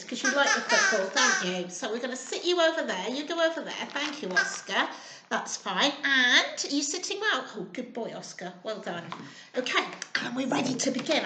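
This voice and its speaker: A woman's voice: high voice, pushed and bright, a little hiss or hum. A woman reading a picture book expressively to young children, prompting them with simple questions. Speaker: children's storyteller. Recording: roomy, echoing indoor sound.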